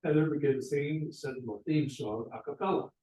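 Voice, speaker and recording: A man's voice: on the high side, a little fast, dark tone. A man narrating as the reader of stage directions, in a scripted style. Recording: roomy, echoey, distant microphone.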